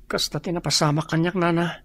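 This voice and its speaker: Drama role: male actor as earnest young villager, Ilocano accent, fairly high gravelly voice. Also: level tone, a little fast, fairly bright tone.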